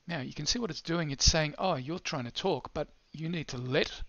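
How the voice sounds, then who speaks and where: Higher-pitched older man, slightly dull audio. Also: moderate pace, creaky, a bit bright, no echo. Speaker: presenter of an educational technology demo. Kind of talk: unscripted screencast walkthrough. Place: small indoor room. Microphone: headset. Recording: slightly dull, band-limited headset audio.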